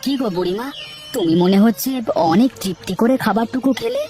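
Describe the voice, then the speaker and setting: A woman's voice: fairly high pitch, fairly quick, lightly acted. A woman voicing a poor, humble working man in a cartoon, who pleads politely for help. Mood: kind. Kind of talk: cartoon voice acting. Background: background music.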